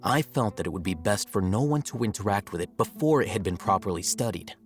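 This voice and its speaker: Low-voiced, aloof scholar. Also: male voice, animated, faint music behind. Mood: cool, composed. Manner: measured, articulate, detached. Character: cool, aloof, calculating young male scholar. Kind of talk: video game voice acting.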